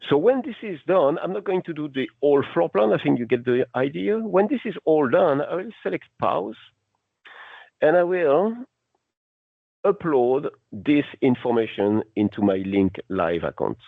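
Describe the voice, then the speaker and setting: A man's voice: a little high, lively intonation, moderate pace, vocal fry, no echo. Non-native English-speaking man, tinny phone-like sound. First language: not English. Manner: instructional, step by step. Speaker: product demonstrator. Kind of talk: unscripted software demo walkthrough. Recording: narrow phone-like band.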